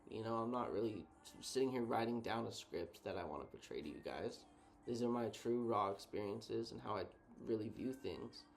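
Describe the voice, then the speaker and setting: A man co-hosting a casual reflective chat, thinking out loud in a laid-back, slangy way. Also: lowish voice, lively intonation, moderate pace, creaky, a little hiss or hum. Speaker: co-host.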